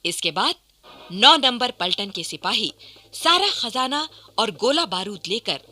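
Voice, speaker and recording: A woman's voice: fairly high pitch, sing-song, measured pace, pushed and bright. A woman narrating a scripted historical radio play in a dramatic storytelling style.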